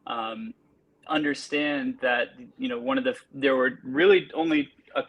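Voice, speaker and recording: A man's voice: mid-range voice, steady tone, a little slow, dull audio. A man in his early forties hosting a casual podcast chat, rambling with many restarts and fillers. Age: 40s. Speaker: podcast host.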